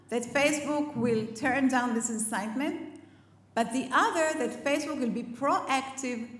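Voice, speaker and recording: Middle-aged woman, high voice, emphatic conference speaker on a headset mic in a big echoey hall. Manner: measured, emphatic, list-like delivery. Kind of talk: prepared public speech.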